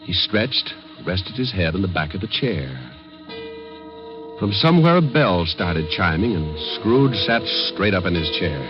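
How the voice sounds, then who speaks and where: A man's voice: sits low, lively intonation, unhurried. A man narrating a ghost story with an American accent in a casual, folksy 1950s radio storytelling style. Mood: building tension. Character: storyteller narrating a slangy Christmas ghost tale. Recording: dull old radio recording, loud music.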